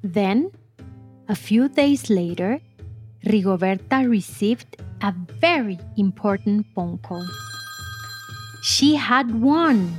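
A woman narrating a scripted biographical story for children in a measured, expressive way. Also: mid-range voice. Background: music bed.

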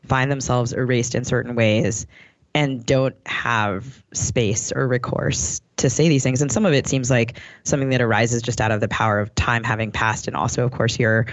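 A woman co-hosting an interview podcast, speaking thoughtfully and haltingly, repeating words as she forms her thought. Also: extremely low pitch, steady tone, no echo, dull audio.

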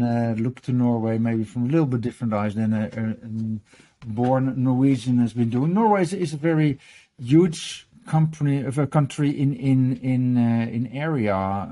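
A middle-aged man from the Netherlands, a guest in a casual interview who talks in a halting way, repeating words and restarting. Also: mid-range voice, warm tone. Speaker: guest, e-health journalist.

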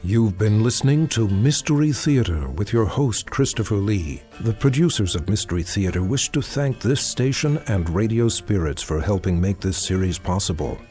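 A man's voice: on the low side, animated, normal speed, hardly any pauses, a little mellow, faint music behind. A man working as a radio announcer, reading scripted closing credits.

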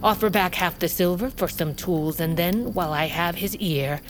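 A woman as a low-voiced, commanding warrior queen. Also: a little hiss or hum, close to an everyday voice. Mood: calculating. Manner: imperious, measured. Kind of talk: fantasy audio drama.

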